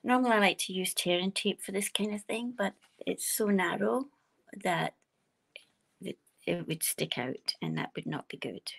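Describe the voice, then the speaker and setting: A woman with a quiet voice, chatting and explaining each step as she demonstrates card making at her craft desk. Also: fairly low pitch, moderate pace, creaky. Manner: chatty, explaining steps while working.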